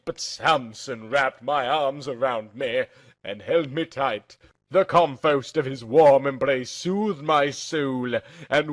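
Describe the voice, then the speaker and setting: A man reading a first-person story aloud in a theatrical, emphatic narrating style. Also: a little high.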